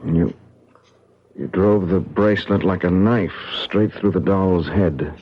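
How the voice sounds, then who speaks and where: A man's voice: low voice, takes it slowly. A man playing an earnest college teacher in a 1970s radio drama. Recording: muffled old radio broadcast.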